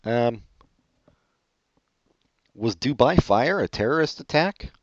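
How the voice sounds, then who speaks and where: Sarcastic man with a lively voice. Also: slow pace, no echo. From California. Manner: sarcastic, deadpan jokes with sudden pitch jumps. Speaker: co-host reading online questions. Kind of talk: casual comedic banter. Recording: dull, muffled lo-fi audio.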